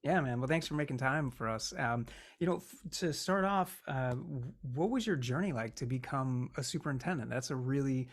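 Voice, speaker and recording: A man hosting an interview over a video call, with a keen, upbeat delivery. He encourages his guest and reacts warmly to the answers. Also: medium pitch, lively intonation, moderate pace, few pauses. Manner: enthusiastic, encouraging. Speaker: interview host.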